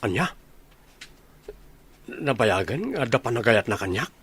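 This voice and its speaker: An easygoing young man in love acted by a man in a radio comedy. He sounds casual and eager, with a voice of average pitch. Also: big pitch swings, unhurried, fairly bright tone, husky, full character voice. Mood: excited, surprised. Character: upbeat, lovestruck young village man, easygoing buddy and son. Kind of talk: Ilocano comedy radio drama acting.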